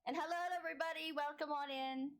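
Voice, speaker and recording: A woman hosting a casual live-streamed podcast interview. She talks in an effusive, chatty way with a big booming voice and laughs often. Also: level tone, fast talker.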